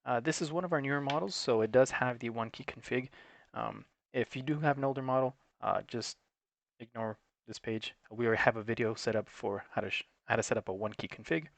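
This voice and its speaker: A man's voice: mid-range voice, brisk, gravelly, dry recording. A man giving an unscripted, matter-of-fact, step-by-step tutorial in an instructional way. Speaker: technical support presenter.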